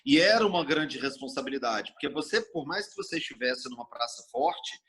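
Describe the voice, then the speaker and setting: A middle-aged man from Brasília, a TV journalist telling stories in a casual interview, expansive and animated, acting out quoted speech. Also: mid-range voice, fairly quick. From Brasília, Brazil.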